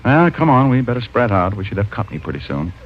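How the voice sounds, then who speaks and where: An actor playing a breezy, wry private detective who both talks and narrates in a 1950s radio mystery. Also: male voice, fairly low pitch, very animated, quick pace, very noisy. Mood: matter-of-fact. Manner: wry, casual, hesitating with uh. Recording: muffled old radio recording with music.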